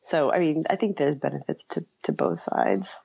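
Female interview guest, low gravelly fry, hesitant and reflective, slightly dull lo-fi recording. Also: sing-song, normal speed, mellow. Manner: hesitant, self-effacing, frequent hedging. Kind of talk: casual reflective conversation.

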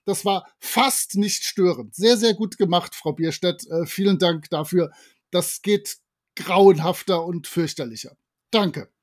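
A man's voice: sits high, measured pace. A man co-hosting a casual podcast chat about audio dramas, telling things with emphatic exaggeration. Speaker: podcast co-host.

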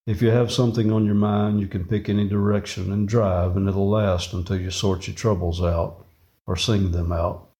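Deep, dry-recorded man reading. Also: flat delivery, moderate pace, dark tone, husky, no echo. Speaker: reader, a farmer. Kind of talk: scripted reading of lyrical personal prose.

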